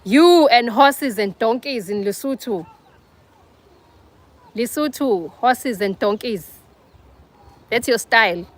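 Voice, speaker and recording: A woman's voice: on the high side, lively intonation, takes it slowly, pauses often. A young South African woman with a South African accent, hosting a casual livestream and chatting with viewers, teasing them by name. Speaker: livestream host. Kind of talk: casual live chat with viewers.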